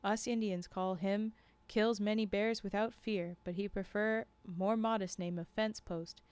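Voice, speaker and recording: A woman's voice: mid-range voice, steady tone, measured pace, a little mellow, clear, resonant tone, no room sound, lightly acted. Storybook lodge keeper, male, read by a woman: mock-solemn, sly, clipped broken English, close dry sound. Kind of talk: storybook character reading.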